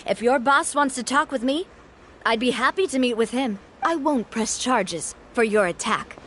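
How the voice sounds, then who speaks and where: A woman's voice: a little high, animated, moderate pace, fairly bright tone, slight background noise. A woman voicing a young woman who leads a group in a video game, firm, composed and sincere. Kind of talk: game voice acting. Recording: game audio with faint music behind.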